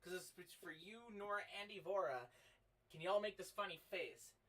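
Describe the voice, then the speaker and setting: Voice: mid-range voice, moderate pace, a bit bright. A co-host on a casual voice-call stream, reading out fan questions and bantering with teasing, exclamations and swearing. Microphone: voice call microphone.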